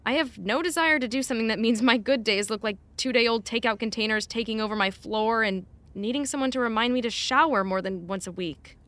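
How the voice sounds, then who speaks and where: An actress playing a blunt, exasperated but caring personal assistant in naturalistic audio drama, wry and no-nonsense. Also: female voice, on the high side, a little fast, few pauses, a bit bright, no room sound, natural voice. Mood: frank. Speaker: actor.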